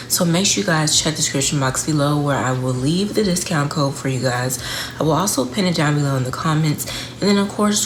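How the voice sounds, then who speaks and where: Low-voiced congested woman vlogger. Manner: chatty, addresses viewers directly. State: congested from a cold. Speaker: wig reviewer. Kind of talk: casual beauty review vlog. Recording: noisy home recording.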